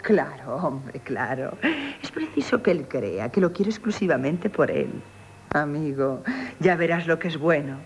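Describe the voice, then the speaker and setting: An actress playing a proud, scheming, flirtatious innkeeper in radio theatre, brisk and teasing. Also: female voice, low voice, animated. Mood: sly. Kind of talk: 1970s radio theatre acting. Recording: dull, noisy old radio broadcast.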